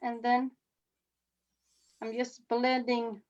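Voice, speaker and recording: A woman from Sweden with a Swedish accent, giving an informal demonstration as a face painting instructor, with halting phrasing. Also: on the high side, level tone. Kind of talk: informal live demonstration with commentary. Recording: slightly dull, lo-fi webinar audio.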